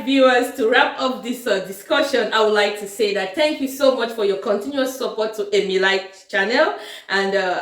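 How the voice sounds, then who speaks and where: Woman host, lots of "uh" fillers, roomy echo. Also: medium pitch. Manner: frequent short backchannels, hesitant with fillers. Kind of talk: interview hosting. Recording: echoey room.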